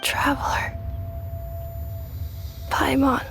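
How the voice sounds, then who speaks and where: A woman voicing a dazed humanlike machine in an anime game, speaking in halting fragments. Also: a bit bright, faint music behind. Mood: dazed, searching. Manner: halting, fragmented, very sparse phrases. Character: female humanlike machine, dazed and single-minded.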